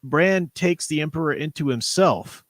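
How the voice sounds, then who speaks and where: A man's voice: fairly high pitch, expressive, normal speed, no room sound, studio quality. A man hosting a casual livestream book discussion, talking in an enthusiastic, conversational way. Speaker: show host reading chat messages.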